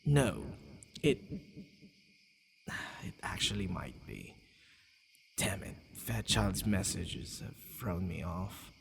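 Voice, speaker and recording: A man playing a centuries-old vampire lover, tender, possessive and flustered, halting and sighing in an intimate ASMR romance roleplay with night insects faintly behind him. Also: deep voice, sing-song, slight background noise, heavily acted. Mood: amused, musing. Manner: halting, stammering, breathy sighs.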